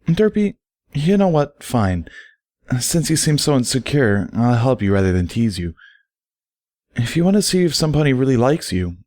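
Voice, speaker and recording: A man's voice: medium pitch, a little mellow, no room sound, studio quality, voice pushed up, natural voice. A man voicing a confident, teasing, educated female pony who explains things patiently and wordily in a story reading.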